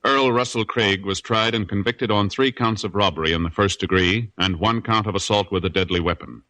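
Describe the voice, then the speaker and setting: A man giving formal, measured announcements in the style of 1950s radio. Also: lowish voice, sharp tone. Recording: vintage radio broadcast with music.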